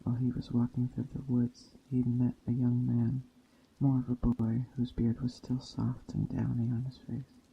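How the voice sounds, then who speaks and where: A man reading a story aloud in a soft ASMR style, slowly and deliberately, in a hushed bedtime storytelling manner. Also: mid-range voice, monotone, gravelly, a little hiss or hum. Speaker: storyteller, narrator. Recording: dull muffled sound, faint background ambience. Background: soft nature ambience.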